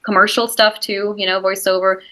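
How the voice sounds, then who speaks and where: A woman's voice: mid-range voice, little pitch movement, brisk, pushed and bright. A woman with a Swiss German accent, whose first language is Swiss German, speaking as an actress guest in a casual interview, chatty and full of "like" and "you know". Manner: chatty, lots of "like" and "you know", laughs easily.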